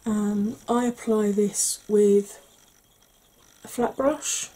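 A woman in her forties talks through a makeup tutorial in a soft, hushed ASMR manner, slow and patient. Her voice is warm and mellow, and very breathy, slipping into whispers. She sounds close to a desk webcam in a small room at home. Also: medium pitch, steady tone, rough recording. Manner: slow, gentle, patient explaining. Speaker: makeup vlogger.

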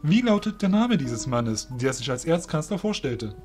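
Man as young male fairy general, polite, formal, composed, highish lilting voice, soft music behind, fantasy audio play. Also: big pitch swings. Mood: polite, serious. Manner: courteous, measured, formal. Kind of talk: amateur fantasy audio drama acting.

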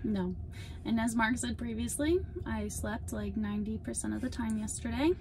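A young woman talks from the passenger seat of a parked car, her voice fairly high with a gravelly, fry-edged texture. She explains things in a chatty, upbeat way, and a faint hum runs underneath. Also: fairly even intonation, normal speed, a little mellow. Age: young adult. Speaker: vlog co-host. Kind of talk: casual vlog talk. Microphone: phone camera at arm's length.

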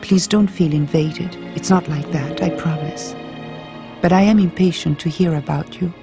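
A woman's voice: lowish voice, normal speed, vocal fry, over music. A woman reading an audiobook as an earnest twenty-eight-year-old island woman writing an intimate letter. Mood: wondering, earnest.

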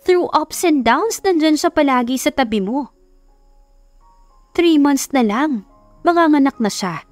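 A woman's voice: sits high, expressive, measured pace, long pauses, no room sound, faint music behind, voice pushed up. A woman voicing an earnest middle-aged mother, firm and emotional as she pleads in an audiobook romance. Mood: pleading, reproachful. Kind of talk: dramatized audiobook romance reading.

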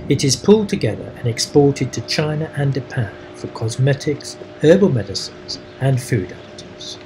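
A man giving scripted, measured travel narration as a voice-over. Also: medium pitch, big pitch swings, a little mellow, a little hiss or hum, low-quality recording. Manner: measured and informative. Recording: voice-over with guitar music behind.

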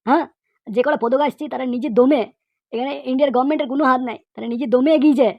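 A woman's voice: high-pitched, steady tone, very fast, no echo, highs cut off. A woman voicing an outspoken cartoon pupil, lecturing emphatically with repeated phrases and rhetorical questions. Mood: insistent. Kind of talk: cartoon comedy monologue.

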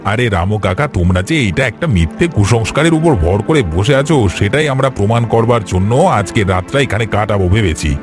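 A man's voice: lowish voice, expressive, moderate pace, few pauses, over music, close to an everyday voice. A man voicing a brash, stubborn young man in a horror cartoon, insistent in manner. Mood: scoffing. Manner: scoffing, insistent.

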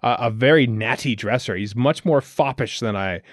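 A man's voice: medium pitch, moderate pace, no room sound, clean studio sound. A man co-hosting a podcast, chatting casually and thinking aloud as he comments on what he is watching. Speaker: podcast co-host. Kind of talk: casual film commentary chat.

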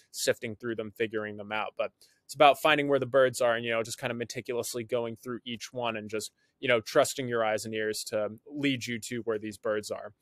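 A man's voice: medium pitch, no room sound, clean studio sound. A young man, co-hosting a birding show, explaining at ease over a video call from his living room, with plenty of fillers. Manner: relaxed, loose, lots of fillers. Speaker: birding podcast co-host. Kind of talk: casual explanatory chat. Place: living room with framed pictures. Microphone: video call webcam.

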